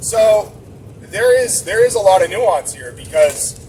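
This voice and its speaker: A man's voice: high-pitched, expressive, unhurried, a little mellow. A man from the area, rambling casually and poking fun at himself while he drives his truck. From Boston area. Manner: rambling, chatty, self-mocking. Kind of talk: casual vlog while driving. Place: truck cab on the road. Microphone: camera mounted inside the cab. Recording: distant mic with road noise. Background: engine and road noise.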